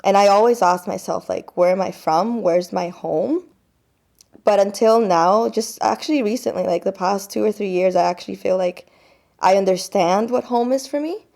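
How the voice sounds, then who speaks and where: A woman's voice: mid-range voice, moderate pace, creaky, a little mellow. A young woman from Colombia speaking casually and reflectively in an interview, with many fillers.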